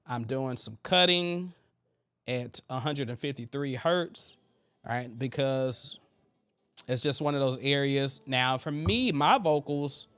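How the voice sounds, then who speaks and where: A man's voice: mid-range voice, slow pace, fairly bright tone, clean tone, no room sound. A man with a thin voice hosting a casual live tutorial on vocal mixing, loosely chatting with viewers as he works. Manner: loose and chatty, full of fillers and asides to the chat. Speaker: host teaching vocal mixing.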